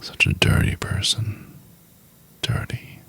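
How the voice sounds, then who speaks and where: A man playing a cold, contemptuous CEO in an ASMR roleplay, talking slowly and condescendingly in a breathy, often half-whispered voice. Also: bright, forceful tone. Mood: scornful. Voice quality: very deep, gravelly, breathy. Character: cold, contemptuous, domineering male CEO berating an intern.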